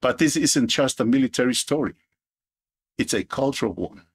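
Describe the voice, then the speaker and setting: A man from Samoa with a Samoan accent who hosts a podcast as a Marine veteran. He talks in a loose, halting monologue full of restarts and repeated words. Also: medium pitch, breathy edge, no room sound.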